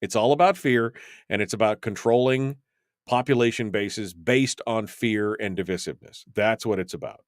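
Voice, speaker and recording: A man's voice: fairly low pitch, moderate pace, no room sound, studio quality. A man hosting a talk radio show, reading listener comments aloud and answering them with opinionated, rambling remarks full of ums and restarts.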